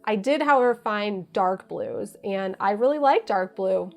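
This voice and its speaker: An earnest young American woman talks through her costume research in a steady, scripted way. Her voice sits at an ordinary middle pitch, with faint music underneath. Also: a little slow, vocal fry. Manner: earnest, explanatory. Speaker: costume maker. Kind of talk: scripted sewing narration.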